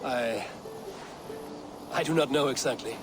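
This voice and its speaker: An actor playing a steadfast Viking warrior leader with a measured, direct delivery in a game drama. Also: male voice, medium pitch, over music, close to an everyday voice. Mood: direct, curious. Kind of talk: dramatic game cutscene acting.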